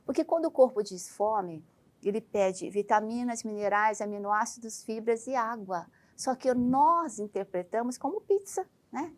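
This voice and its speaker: A woman from Brazil talks as a doctor guest in a TV studio. She gives frank, forceful advice with lively, emphatic delivery. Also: a little high, moderate pace. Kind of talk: TV interview.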